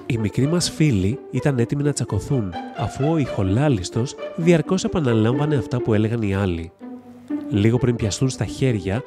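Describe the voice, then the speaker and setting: Male fairy-tale narrator, mid-range voice, scripted read over very prominent music. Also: medium pitch. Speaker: storybook narrator. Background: loud instrumental music.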